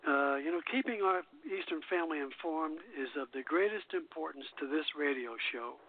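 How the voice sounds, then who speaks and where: A man hosting a radio show and addressing his listeners in an informal, halting way. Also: fairly high pitch, phone-quality sound, a little hiss or hum. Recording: band-limited internet radio call audio.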